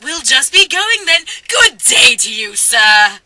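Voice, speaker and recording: A woman voicing a pompous, haughty teenage boy wizard with theatrical, drawn-out delivery in a comic story reading. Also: high voice, sing-song, heavy background noise, pitched above the performer's own voice, heavily acted. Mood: grandly polite.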